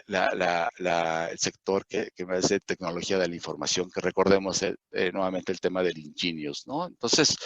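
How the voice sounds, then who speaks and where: A man with a Mexican accent talks through slides in a webinar, his low voice gravelly with fry. He hesitates often and restarts his words. The sound is dry and a little dull, like a computer mic on a call. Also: fairly bright tone, no room sound. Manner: halting, many fillers and restarts. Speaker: webinar presenter on investment indices. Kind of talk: live webinar presentation over slides.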